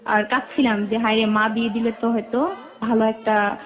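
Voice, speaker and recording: A Bangladeshi woman recounts her own life as a guest, in a voice on the high side. She narrates in detail and quotes remembered conversations. The recording is thin and muffled, like a telephone. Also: little pitch movement, brisk. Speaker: interview guest. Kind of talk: personal life storytelling. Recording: muffled, narrow phone-like band.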